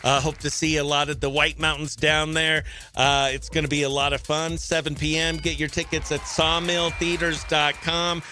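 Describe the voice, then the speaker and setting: A man's voice: a little high, flat delivery, normal speed, music in the background. A man hosting casual morning radio chat in an upbeat, effusive, chatty manner. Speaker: radio host; stand-up comedian. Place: radio studio.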